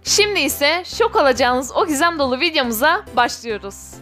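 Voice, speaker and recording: A woman's voice: high voice, expressive, normal speed, fairly bright tone. A woman reading an enthusiastic scripted video narration, speaking directly to viewers. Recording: voice-over with music underneath. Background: background music.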